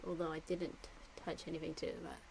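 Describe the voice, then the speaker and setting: A woman streamer giving chatty, giggly live commentary into a desk mic on a boom arm at home. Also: flat delivery, a little fast, vocal fry, darkish tone, a little hiss or hum. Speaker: game streamer.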